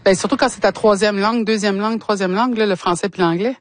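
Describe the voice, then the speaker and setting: A woman's voice: medium pitch, fairly even intonation, quick pace. A woman with a Quebec French accent hosting a conversational interview, asking her guests questions in a friendly, chatty way. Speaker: interview host.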